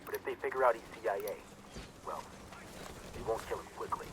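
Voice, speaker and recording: An actor playing a businesslike intelligence handler briefing soldiers in a video game, matter-of-fact. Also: male voice, fairly low pitch, fairly even intonation, brisk, close to an everyday voice. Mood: grave briefing. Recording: noisy ambience behind.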